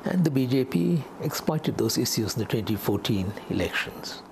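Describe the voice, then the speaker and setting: An older man giving measured, halting explanations as an interviewed political analyst. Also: medium pitch, animated, gravelly, darkish tone, a little hiss or hum. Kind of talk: unscripted interview commentary.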